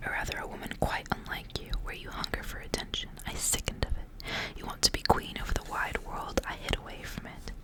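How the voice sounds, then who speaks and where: Storybook role: talking skull of a dead horse, stern and solemn, breathy whisper only woman reader performing. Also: fairly quick, natural voice. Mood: solemn, knowing.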